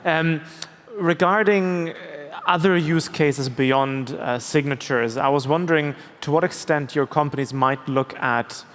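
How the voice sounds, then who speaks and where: A man moderating a conference panel conversationally, with halting, hesitant phrasing. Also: a little high, fairly bright tone, slight background noise. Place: large summit hall on stage. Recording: reverberant conference hall.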